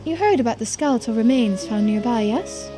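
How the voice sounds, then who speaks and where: A woman voicing a shrewd female police investigator in a mystery game, measured, polite and in charge. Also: a little high, expressive, music in the background, lightly acted, rough recording. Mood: polite, probing.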